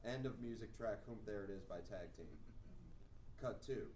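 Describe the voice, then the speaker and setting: A man's voice: on the low side, moderate pace, a little mellow. Guy narrating a script read, stage directions, roomy and distant with a bit of noise. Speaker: narrator at a screenplay table read. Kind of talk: reading screenplay stage directions aloud. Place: indoor room with a group.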